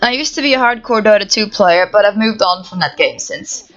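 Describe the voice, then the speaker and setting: A young woman from the Netherlands with a Dutch accent, calmly reading out answers to viewer questions for her gaming channel. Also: medium pitch, a little fast, dull audio, soft background music. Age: young adult. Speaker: gaming YouTuber. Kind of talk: reading Q&A answers to camera, no face cam. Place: home setup, voice-over without camera.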